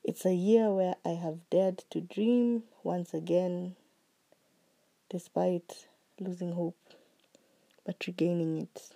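A woman hosting her own podcast, talking slowly and earnestly about what she is grateful for, her voice still recovering from being sick. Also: fairly low pitch, fairly even intonation, gravelly. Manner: slow, heartfelt and earnest, with soft trailing phrases. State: recovering voice after illness. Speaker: podcast host. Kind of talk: reflective solo podcast monologue.

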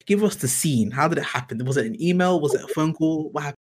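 A man's voice: a little high, animated, quick pace, slightly rough. A man hosting a podcast in a conversational interview style. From Britain. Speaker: podcast host.

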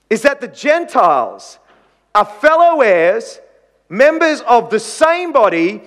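A man's voice: very high-pitched, fairly bright tone, long reverb tail. A man preaching a sermon in a chatty, conversational way, with jokes and asides to the congregation. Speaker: pastor.

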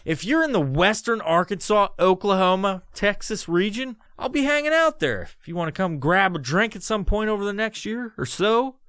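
Man, podcast host, middle-aged, swears a lot, bright voice, slightly high, dry close sound. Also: normal speed, few pauses, clean tone, no echo. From New York. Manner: swears constantly, laughs through stories, animated impressions. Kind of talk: profane storytelling monologue.

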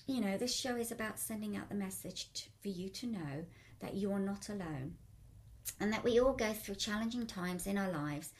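A woman hosting a radio talk show as a life coach, upbeat and chatty, her voice still croaky. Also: medium pitch.

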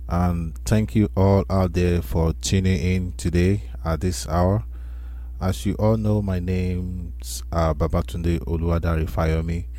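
A Nigerian man in his forties tells his life story on a radio show, unhurried and repeating words as he recalls. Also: sits low, little pitch movement, warm tone. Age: 40s. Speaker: featured storyteller.

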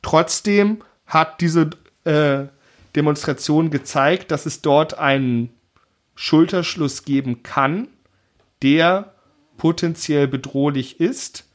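A man lays out his argument in a measured, explanatory way. His voice sits a little above the usual male range. He often softens his points with hedging asides. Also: a little high. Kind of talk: analytical discussion.

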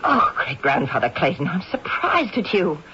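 An actress playing an earnest, pleading homeowner in a radio drama. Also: female voice, lowish voice, big pitch swings, moderate pace, bright, forceful tone. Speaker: homeowner character. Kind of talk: 1970s radio drama acting. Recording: dull old radio broadcast, faint hiss.

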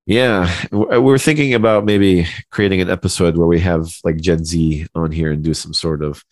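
A man's voice: sits low, fairly even intonation, moderate pace, no room sound. A man in his thirties co-hosting a casual podcast, speaking informally and effusively to friends while sick, his voice not at its best. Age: 30s. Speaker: podcast co-host. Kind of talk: casual chat.

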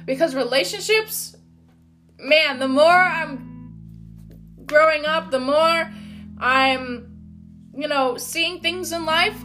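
A loud, upbeat woman, hosting her own motivational podcast. Her voice sits high and bright, and she talks in an encouraging, casual way. Soft guitar music plays faintly behind her. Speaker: podcast host. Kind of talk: motivational solo podcast talk.

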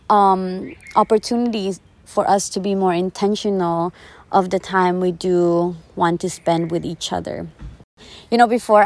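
A woman in her twenties hosts a personal podcast on her own. Her voice sits at a middle pitch for a woman. She talks in an earnest, conversational and reflective way. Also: a little mellow. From the Philippines, later the United States. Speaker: podcast host.

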